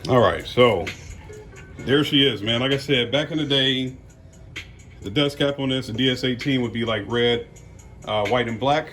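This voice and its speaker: A man's voice: medium pitch, normal speed, pauses often, over music. An American man giving casual unboxing commentary as a car audio vlogger, talking in a folksy, slangy way.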